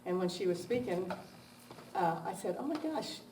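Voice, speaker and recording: A woman's voice: mid-range voice, expressive, moderate pace, mellow. A woman hosting an event, giving an informal, chatty and effusive introduction of a speaker. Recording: echoey room, distant mic, faint noise.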